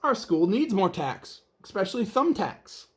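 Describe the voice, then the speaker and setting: A man's voice: sits high, big pitch swings, unhurried, pitched above the performer's own voice. A man voicing a cheerful, literal-minded woman in a children's storybook, earnest and emphatic. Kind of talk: children's storybook read-aloud.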